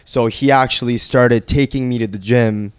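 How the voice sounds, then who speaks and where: Smooth-voiced man telling his story casually. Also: medium pitch, steady tone, normal speed, no echo, studio quality. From Korea. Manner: laid-back, frequent fillers and "right?". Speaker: guest, boxing trainer.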